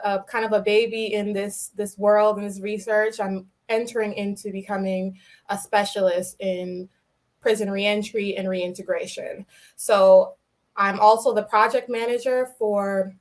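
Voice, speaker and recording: A woman's voice: medium pitch, fairly even intonation, normal speed. A young woman from New York City, a podcast guest explaining her work with light laughs. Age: young adult. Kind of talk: podcast interview.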